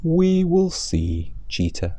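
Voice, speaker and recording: A man voicing a clever, patient male tortoise in a children's bedtime story, calm and measured. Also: fairly high pitch, no room sound, voice pushed up, exaggerated voice.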